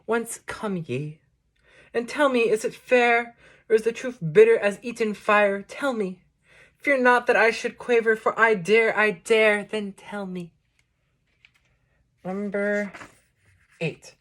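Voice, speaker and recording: A woman reads verse aloud with a middle-pitched voice. She recites in a measured, declamatory way, setting out each short phrase on its own as a poetry reader would. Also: fairly bright tone. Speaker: poetry reader introducing a book. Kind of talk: recited poetry reading with short spoken introduction.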